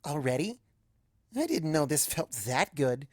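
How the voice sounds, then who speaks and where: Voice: medium pitch, very animated, measured pace, no room sound. A young pegasus mare, as a storybook narrator plays her, talks in an affectionate, playful way, both teasing and reassuring. The voice sits mid-range in a dry, close recording.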